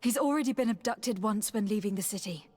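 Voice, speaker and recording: An actress playing an earnest, determined noblewoman diplomat in a video game, speaking in a formal, measured, probing way. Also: mid-range voice, darkish tone, natural voice. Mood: worried. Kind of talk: dramatic video game cutscene acting.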